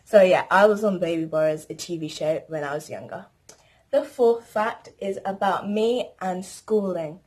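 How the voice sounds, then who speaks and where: A woman's voice: lowish voice, moderate pace, darkish tone. Chatty teen girl vlogging. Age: teens. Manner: chatty, rambling, lists things. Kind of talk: casual chat to camera.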